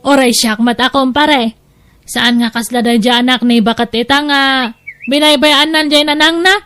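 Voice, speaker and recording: Woman acting a high-voiced, chatty village neighbor. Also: steady tone, normal speed, fairly bright tone, clear, resonant tone. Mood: friendly. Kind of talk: audio drama acting.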